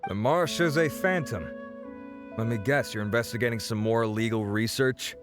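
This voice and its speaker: Game casting: knowing male researcher acted by a man, average pitch, guarded, clear music behind. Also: moderate pace, natural voice. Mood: wry. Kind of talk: video game voice acting.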